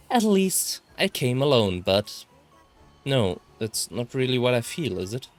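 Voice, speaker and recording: A man with a German accent reads a visual novel aloud. His mid-pitched voice moves up and down in a lively, expressive way, with game music playing behind him. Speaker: let's player reading a visual novel aloud. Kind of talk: expressive read-aloud of first-person game text.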